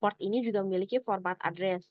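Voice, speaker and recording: A young woman giving a scripted academic presentation as a student, in a measured, explanatory, somewhat halting manner. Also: mid-range voice, dry recording, narrow, tinny audio. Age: young adult.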